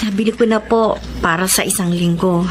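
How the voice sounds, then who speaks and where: A woman's voice: medium pitch, fairly even intonation, fairly quick, noisy background, lightly acted. A woman playing a weary but resilient young single mother in a Filipino radio drama, speaking plainly and with feeling. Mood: gentle, earnest. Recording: music bed behind the voice.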